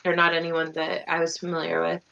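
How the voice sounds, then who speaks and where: Female tabletop player, lowish gravelly fry, casual discussion, slightly muffled mic. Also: monotone, fairly quick, sharp tone, a little hiss or hum. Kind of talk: casual online game discussion. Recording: slightly dull online mic.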